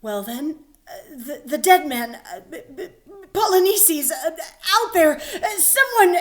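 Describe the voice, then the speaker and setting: A woman's voice: high-pitched, lively intonation, moderate pace. A woman voicing a frightened male soldier who rambles nervously and stammers. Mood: panicky. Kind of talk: dramatic reading of a play.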